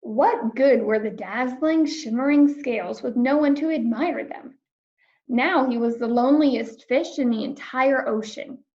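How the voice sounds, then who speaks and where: A woman narrates a children's story with clear, expressive delivery. Her voice sits high with some vocal fry. The recording is dull and lo-fi. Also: a little slow. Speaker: storybook reader. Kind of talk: children's read-aloud narration.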